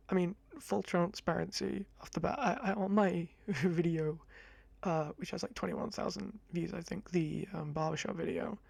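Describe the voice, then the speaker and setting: A young man in his twenties with a high-pitched voice, rambling casually to camera in a chatty, self-deprecating ASMR talk on a close mic. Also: vocal fry, dry recording. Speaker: ASMR creator. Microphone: close condenser mic.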